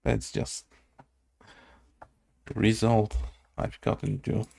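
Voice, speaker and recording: A man's voice: fairly low pitch, completely flat delivery, slow pace, gravelly, warm tone, slightly rough. A man thinking aloud through a casual coding tutorial, hesitant and muttering to himself in short fragments. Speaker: game development tutorial host.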